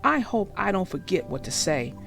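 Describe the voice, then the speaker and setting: A woman's voice: fairly low pitch, very animated, moderate pace, vocal fry, fairly bright tone, soft background music, pitched below the performer's own voice, exaggerated voice. A woman voicing a nervous, forgetful young turtle schoolboy in a children's storybook, earnest and worried.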